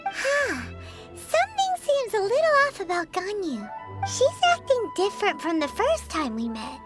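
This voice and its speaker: A woman voicing a tiny, blunt and perky childlike fairy companion in a video game, with a squeaky, expressive delivery. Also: a little slow, full character voice. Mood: puzzled, curious. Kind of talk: video game cutscene dialogue. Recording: music under the voice. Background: background music.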